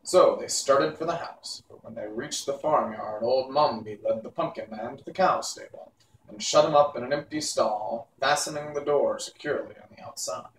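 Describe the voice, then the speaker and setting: A man reading a classic children's book aloud in an unhurried, expressive storytelling manner. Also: mid-range voice. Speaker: audiobook reader. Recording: echoey room, distant mic, faint hiss.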